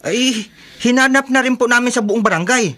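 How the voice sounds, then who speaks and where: Very high-voiced, respectful village man. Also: fairly quick, sharp tone, exaggerated voice. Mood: earnest, concerned. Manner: deferential, reporting. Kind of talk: horror radio drama acting.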